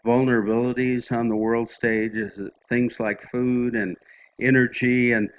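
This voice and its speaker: A man's voice: medium pitch, little pitch movement, unhurried, slight background noise. A male moderator asking a long, rambling question in a conversational manner.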